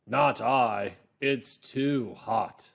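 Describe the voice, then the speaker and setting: A man voicing an overheated, unwilling storybook dog, complaining loudly with drawn-out words. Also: medium pitch, gravelly, breathy edge, exaggerated voice. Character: hot, unwilling storybook dog making excuses.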